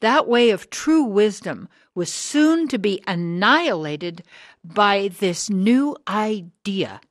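A woman acting in a radio drama as a hard, driven woman of sixty who preaches in a deliberate, rhetorical way. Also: mid-range voice, animated, no echo, clean studio sound. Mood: grave. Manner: deliberate, rhetorical, emphatic pauses. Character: hard, driven sixty-year-old woman, bitter anti-church preacher.

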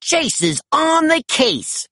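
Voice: high-pitched, very animated, slow pace, bright, forceful tone, dry recording, clean studio sound, pitched above the performer's own voice, full character voice. A storybook narrator voicing gung-ho young male rescue pups, calling out catchphrases eagerly.

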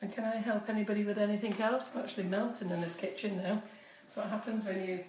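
Woman, 50s, cake decorating tutor, chatty and direct, slight cold squeak; roomy kitchen, distant phone mic. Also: flat delivery, fast, darkish tone, slight background noise. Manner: chatty, instructive, addresses viewers directly. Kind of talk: live-streamed baking demonstration. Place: home kitchen, indoors. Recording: echoey kitchen, voice often off-mic.

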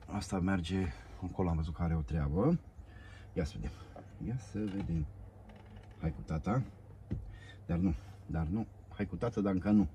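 A man's voice: low voice, pauses often, dark tone, slight background noise. A middle-aged man in a parked car, muttering to himself and coaxing the parts while he works on the dashboard. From Romania. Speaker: DIY car vlogger. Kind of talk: casual self-talk while working. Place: inside a parked car, outdoors.

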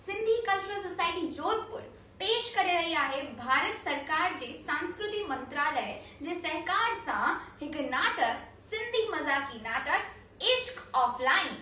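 A young woman presenting an announcement in a lively way, gesturing with her hands, filmed indoors against a plain wall. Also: extremely high pitch, unhurried, a little hiss or hum. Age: young adult. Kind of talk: promotional announcement. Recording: very echoey room, distant mic.

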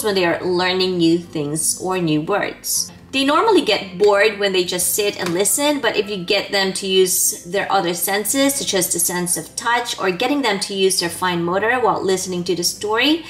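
A woman in her thirties presents scripted teaching tips in a mid-pitched voice. She explains step by step and enunciates clearly, like an educator addressing parents. Music plays behind her. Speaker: early childhood educator giving parenting tips. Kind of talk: scripted instructional presentation to camera. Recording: background music under the voice.

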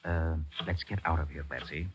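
An actor playing a confident, earnest master detective, assured and persuasive, in a 1940s radio drama. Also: male voice, rumbling low, fairly even intonation, moderate pace, fairly bright tone, a little hiss or hum. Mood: brisk, intrigued. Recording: muffled 1940s radio recording.

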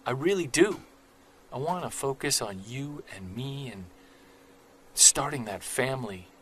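An actor playing an earnest, soft-spoken Bigfoot investigator who narrates his own story in an audio drama. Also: medium pitch, expressive, a little slow, a little hiss or hum. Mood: pleading.

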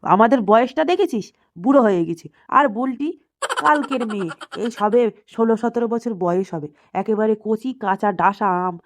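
A woman's voice: a little high, brisk, lightly acted. A woman voicing a self-pitying, testy old village man who grumbles repetitively in a comedy cartoon, with faint noise. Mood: chiding. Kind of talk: comic cartoon dialogue.

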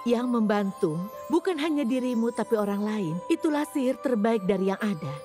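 A woman voicing a kindly, wise magic school principal in a dubbed children's cartoon, gentle and reassuring. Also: fairly high pitch, expressive, moderate pace, natural voice. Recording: loud music behind.

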